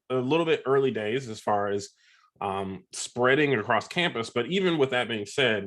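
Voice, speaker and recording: A man with a stuffy sore-throat voice, presenting a webinar in a halting way, with many ums and uhs. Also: medium pitch, a bit bright. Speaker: software ambassador presenting a webinar. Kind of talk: casual presentation.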